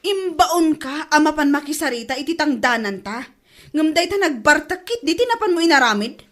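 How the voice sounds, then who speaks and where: A woman's voice: high voice, expressive, moderate pace, fairly bright tone. A woman acting an exasperated, scolding wife in an Ilocano comedy radio drama, with a Filipino Ilocano accent. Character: exasperated, nagging wife scolding her drinking husband.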